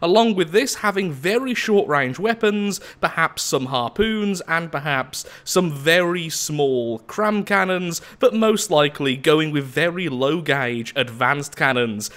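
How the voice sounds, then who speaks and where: Gaming host, male, lively and bright-toned, voice worn down by flu. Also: fairly high pitch, a little slow, hardly any pauses. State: sick with the flu, losing his voice. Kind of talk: casual gameplay commentary.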